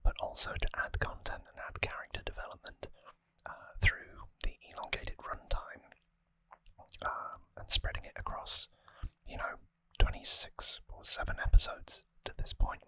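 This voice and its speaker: An adult ASMR host whispering softly and hesitantly in an unhurried ramble, close to the microphone. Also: low-quality recording.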